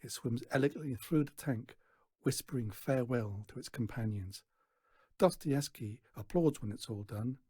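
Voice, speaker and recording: A person reading a children's novel aloud as an expressive storyteller, acting out the dialogue in an animated way. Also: medium pitch, normal speed, slightly rough, no echo. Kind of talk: expressive scripted narration.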